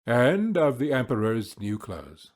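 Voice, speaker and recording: A man narrating a storybook audiobook in a measured, theatrical way. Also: mid-range voice, very animated.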